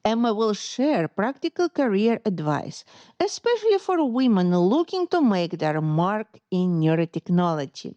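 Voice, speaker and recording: A woman hosting a podcast, reading a formal introduction and asking measured, polite questions. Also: mid-range voice, very animated, mellow, dry recording, highs cut off. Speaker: podcast host. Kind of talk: podcast interview and scripted intro.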